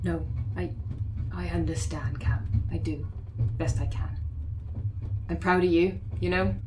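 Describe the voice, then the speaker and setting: A woman with a low voice roleplaying, earnest and pleading as she speaks to another player's character. A faint background hiss runs under her voice. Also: steady tone, a little slow.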